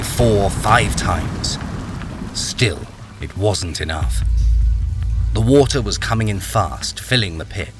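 An actor playing a haunted miner, narrating his ordeal in a brooding, suspenseful radio-drama style. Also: sits low. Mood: grim, tense. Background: music.

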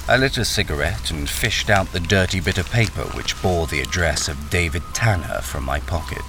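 A weary, deep-voiced male drifter. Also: moderate pace, music in the background. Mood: brooding, subdued. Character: weary, wary ex-soldier miner narrating his own story. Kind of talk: radio crime drama, first-person narration and dialogue.

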